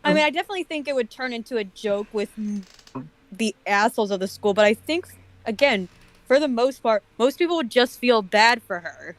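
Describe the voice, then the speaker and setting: A woman's voice: on the high side, lively intonation, fairly quick, no echo. A woman chatting casually as a guest on a group stream, bantering bluntly and swearing freely. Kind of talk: casual chat.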